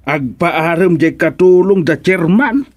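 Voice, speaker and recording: Bashful Ilocano councilor for radio comedy, played by a man: higher male voice, halting, stammering, giddy. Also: lively intonation. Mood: bashful, amused. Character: laughing, bashful village councilor, giddy about marrying. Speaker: actor in radio comedy drama. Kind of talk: Filipino radio drama comedy acting.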